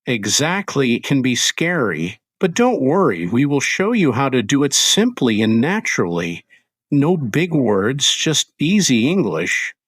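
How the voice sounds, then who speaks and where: A man co-hosting an English-learning podcast, speaking in clear, simple scripted sentences. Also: medium pitch, sing-song, measured pace, fairly bright tone, no room sound. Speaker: podcast co-host.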